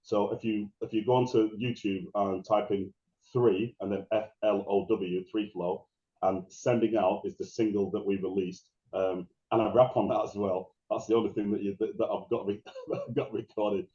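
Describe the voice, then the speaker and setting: A middle-aged man with a deep, dark, mellow voice tells stories in a loose, rambling way, full of restarts. He sounds like a guest on a remote interview, and his voice rings in an echoey space. The recording sounds dull and lo-fi. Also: steady tone, moderate pace. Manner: rambling, restarts and laughs while telling stories. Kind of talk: casual interview conversation.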